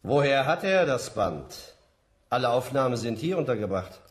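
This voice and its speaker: A man's voice: medium pitch, very animated, moderate pace, lightly acted. A man acting a stern university academic in a radio drama, formal and curt. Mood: questioning.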